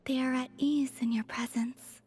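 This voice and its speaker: A woman playing a serene, gentle young moon maiden in an anime game, speaking softly and breathily in an unhurried, formal, dreamy way. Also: high-pitched, steady tone, lightly acted. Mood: dreamy, tender. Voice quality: soft, breathy. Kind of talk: anime game character dialogue.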